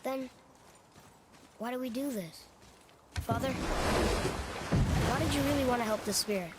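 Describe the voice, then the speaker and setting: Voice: medium pitch, slow pace, background noise, natural voice. An actor playing a young boy in a video game, an earnest, curious son who speaks hesitantly and asks questions. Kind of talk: video game cinematic acting.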